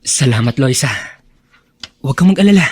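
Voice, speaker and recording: Radio drama, dutiful young man: Filipino accent, breathy-rough voice, troubled and evasive. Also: mid-range voice, expressive, brisk, darkish tone, dry recording. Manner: evasive, earnest.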